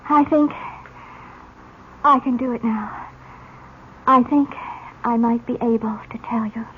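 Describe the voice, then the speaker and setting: A woman's voice: on the high side, takes it slowly, a little hiss or hum. An actress playing a fragile, frightened clairvoyant girl in a 1970s radio drama, in a plaintive, dreamlike manner. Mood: shaken, faint. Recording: muffled radio broadcast, loud music behind. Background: loud dramatic music.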